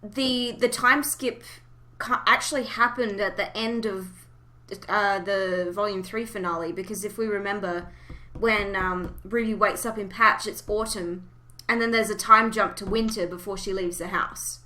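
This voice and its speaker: A woman's voice: mid-range voice, steady tone, normal speed, bright, forceful tone. A woman hosting a casual fan podcast, chatting in an informal, giggly way. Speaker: podcast host.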